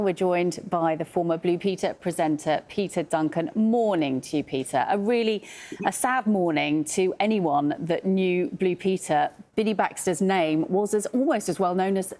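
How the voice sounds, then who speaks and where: A woman's voice: lowish voice, moderate pace, dark tone. A woman with a British accent, working as a news presenter, chatting and interviewing on a broadcast.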